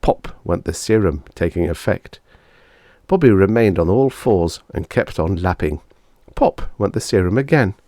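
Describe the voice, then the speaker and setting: A man's voice: sits low, expressive, normal speed, darkish tone, dry recording, clean studio sound. A man reading a short story aloud as scripted audiobook narration.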